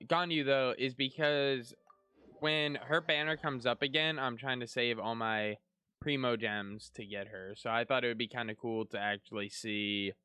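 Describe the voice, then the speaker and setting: A man's voice: mid-range voice, sharp tone, clear, resonant tone, no echo. A man giving casual let's-play commentary as a streamer, informal and chatty. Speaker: streamer, gamer.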